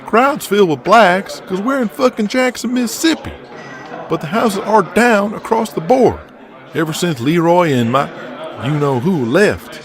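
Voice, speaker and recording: A foul-mouthed, fretful wrestling booker, acted by a man in an audio drama. His voice sits fairly high and moves with lively, blunt emphasis. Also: measured pace. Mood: exasperated.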